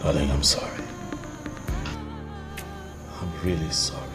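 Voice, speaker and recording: A man playing a remorseful husband who apologizes softly, almost whispering. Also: a bass voice, fairly even intonation, measured pace, loud music behind the voice. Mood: apologetic. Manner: soft, apologetic, half-whispered. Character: remorseful husband pleading with his wife.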